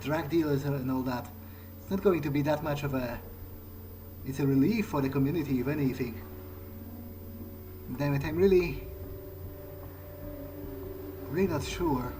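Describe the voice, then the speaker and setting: A man roleplaying in character through a video game, thinking aloud in a halting, hesitant monologue. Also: fairly high pitch, noisy background.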